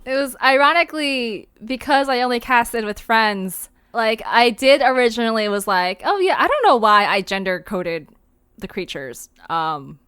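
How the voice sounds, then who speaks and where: A woman's voice: on the high side, animated, moderate pace, fairly bright tone, clear, resonant tone, no echo. A woman chatting casually as the writer and director of a fiction podcast. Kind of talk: casual group chat.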